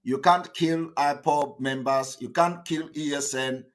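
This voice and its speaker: A Nigerian man speaks as a political activist broadcasting to his followers. His voice sits a little high, and he is emphatic and defiant, hammering points home by repeating them. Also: steady tone, measured pace. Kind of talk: impassioned political monologue to camera.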